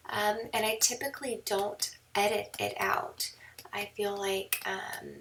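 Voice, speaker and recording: A woman photographer giving a relaxed editing tutorial, hoarse and half whispering because her voice is going, with many ums. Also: fairly high pitch, far from the mic. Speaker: newborn photographer. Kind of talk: screen-recorded editing tutorial. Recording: mic set back, faint background noise.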